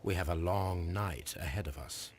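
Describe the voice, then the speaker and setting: An actor playing a shrewd middle-aged Victorian detective in a video game, speaking formal British English in a measured, assured way. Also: male voice, low-pitched, a little hiss or hum, close to an everyday voice. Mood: composed, deductive. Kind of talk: scripted video game acting.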